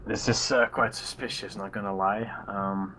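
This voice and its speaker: Deep-voiced male tabletop player. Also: fairly even intonation, normal speed, sharp tone, a little hiss or hum, rough recording. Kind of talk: casual in-game chat.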